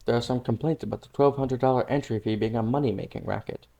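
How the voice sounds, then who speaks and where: A man's voice: mid-range voice, fairly even intonation, fast talker, vocal fry, mellow, natural voice. A man playing a challenging press reporter in an amateur manga dub, asking pointed, formal questions.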